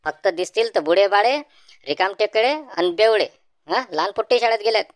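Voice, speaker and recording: Cartoon village lad voiced by a woman, Varhadi Marathi accent, rapid wry back-talk, mid pitch, dry sound. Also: no echo, lightly acted. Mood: wry, wary. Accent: Varhadi Marathi (Vidarbha). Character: young village men, wry, wary, plain-spoken. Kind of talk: comic village cartoon dialogue.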